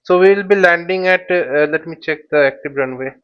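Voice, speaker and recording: Male tutorial host, smooth higher-set voice, halting think-aloud commentary, slightly dull recording. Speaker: flight simulator instructor. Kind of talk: informal tutorial commentary while flying a simulator.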